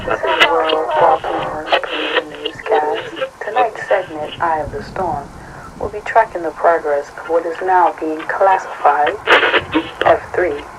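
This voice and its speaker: A woman playing a formal, measured news anchor giving a bulletin over the radio in an audio drama. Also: low voice, lively intonation, over music. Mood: formal, serious. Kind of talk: radio newscast. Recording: noisy radio broadcast sound.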